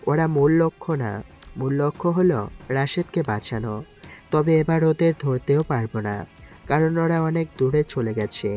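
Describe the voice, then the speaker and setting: A man's voice: a little high, moderate pace, darkish tone, natural voice. A man playing a resolute, protective young navy commander in an audio drama. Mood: commanding. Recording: background music under the voice.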